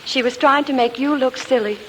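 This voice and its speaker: An actress playing an affectionate, gently teasing sweetheart, earnest and sweet, in 1940s radio comedy style. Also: female voice, sits high, fairly quick, close to an everyday voice. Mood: tender, pleading. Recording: noisy old 1940s radio recording.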